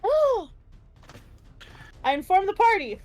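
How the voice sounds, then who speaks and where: A woman chatting casually as a player in a tabletop game, expressive and exclamatory. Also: very high-pitched, slow pace, faint music behind.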